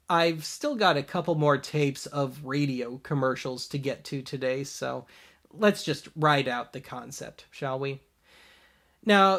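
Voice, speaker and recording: A man hosting a show in a casual, wry commentary style. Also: fairly high pitch. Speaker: host of a show about old tapes.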